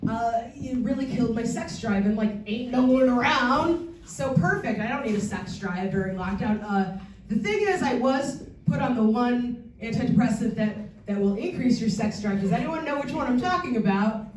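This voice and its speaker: A woman's voice: on the high side, normal speed, distant mic, a little hiss or hum. Bawdy woman comic in an echoey room. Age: 40s. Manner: deadpan bawdy punchlines, chatty asides with filler ums. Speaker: stand-up comedian. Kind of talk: stand-up comedy set. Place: comedy theatre stage before a live audience.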